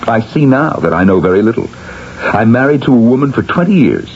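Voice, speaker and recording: An actor playing a mild-mannered, contrite middle-aged husband, earnest and theatrical, in an old-time radio drama. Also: male voice, mid-range voice, big pitch swings, brisk, darkish tone, husky. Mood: remorseful, earnest. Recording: dull, hissy old radio recording.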